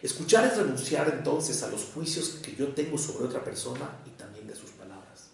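A psychoanalyst from Mexico with a Mexico City accent, giving an academic lecture in a measured, deliberate way with short pauses between phrases. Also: male voice, medium pitch, sing-song, gravelly. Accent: Mexican Spanish, Mexico City. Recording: echoey room, voice picked up from far off.

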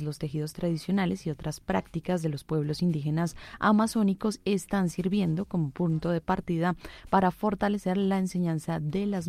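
A woman hosting a radio news program, her voice a little low and mellow in tone. She reads and interviews in a clear, formal announcer manner, recorded dry in the studio. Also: no echo. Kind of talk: scripted news presenting and interviewing.

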